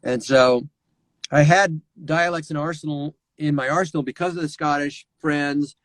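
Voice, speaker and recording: A middle-aged man, a voice actor, telling lively anecdotes over a remote call and slipping into character voices. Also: on the high side, normal speed, slight background noise, low-quality recording. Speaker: voice actor guest. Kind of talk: animated anecdotal storytelling.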